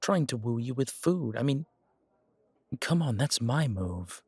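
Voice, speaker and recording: Guy playing a smitten mafia boss in an audio roleplay, raspy lowish voice, teasing but sincere, really dry close mic. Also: lively intonation, measured pace, darkish tone, no echo. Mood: playful, bantering. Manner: teasing, earnest, conversational with hesitations. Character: charming smitten male mafia boss on a first date. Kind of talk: intimate audio roleplay acting.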